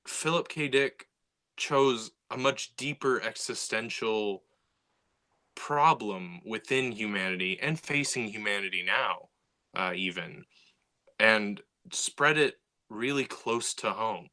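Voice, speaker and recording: A man co-hosting a podcast, talking in a casual, animated way and often restarting and repeating words. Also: fairly low pitch, slow pace. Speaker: podcast co-host.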